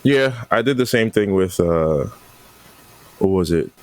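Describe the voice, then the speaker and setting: Deep-voiced man in casual banter. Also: lively intonation, a little slow. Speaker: podcast co-host. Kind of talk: casual chat.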